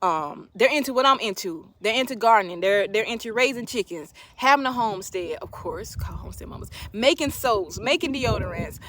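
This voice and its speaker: A woman's voice: on the high side, expressive, a little fast, hardly any pauses, fairly bright tone. A woman in her late thirties talking casually to her viewers, sharing opinions emphatically and repeating phrases for emphasis. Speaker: livestream host.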